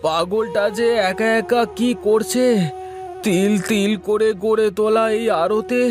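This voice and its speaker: A man's voice: high voice, measured pace. A man voicing a caring, emotional village trader and elder brother in a melodramatic cartoon, often repeating himself in a pleading way. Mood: worried. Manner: anguished, pleading, repeats phrases. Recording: music behind the voice.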